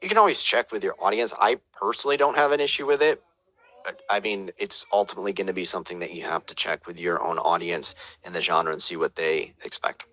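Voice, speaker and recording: A man's voice: low-pitched, fast talker, pushed and bright, poor audio. A man teaching audiobook production, reading out viewer questions and answering them in a casual, hesitant way with many fillers and restarts.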